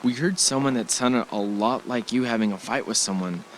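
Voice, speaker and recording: A man's voice: medium pitch, normal speed, a little hiss or hum, lightly acted, low-quality recording. Wary, reluctant boyfriend in an audio drama acted by a man. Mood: insistent. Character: wary, reluctant male partner of an adventurous woman.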